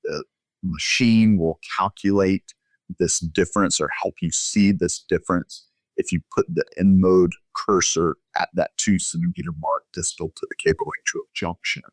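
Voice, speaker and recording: A man with a low-pitched voice gives expert medical explanation in an interview. His delivery is measured and instructional, laid out step by step. The sound is dry, with very little room in it. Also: steady tone, no echo. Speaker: physician guest expert.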